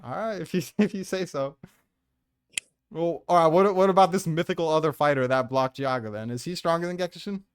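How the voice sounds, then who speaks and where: Higher-voiced man, argumentative and profane. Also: brisk, clear, resonant tone, dry recording. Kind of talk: casual debate, chat.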